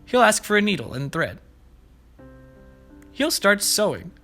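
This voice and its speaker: A man's voice: sits high, big pitch swings, unhurried, bright, forceful tone. A man hosting preschool story time, reading aloud and explaining things simply and expressively to young children. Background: background music.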